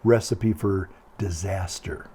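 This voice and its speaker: An older man with a calm, quiet voice, chatting casually in a meandering, hesitant way and often repeating himself. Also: low-pitched, takes it slowly, noisy background. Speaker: video blogger. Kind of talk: casual rambling monologue.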